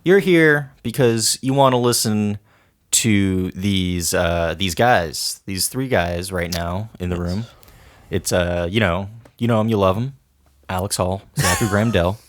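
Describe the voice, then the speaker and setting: A man's voice: low voice, measured pace. A young man co-hosting a podcast, teasing and laughing in casual chat. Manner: teasing, playful, laughs a lot. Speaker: podcast co-host.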